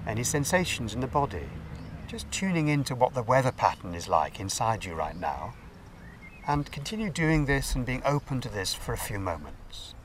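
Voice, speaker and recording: A man leading a guided meditation as a teacher, giving gentle, unhurried instructions with pauses. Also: mid-range voice, big pitch swings. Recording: distant mic, faint background noise.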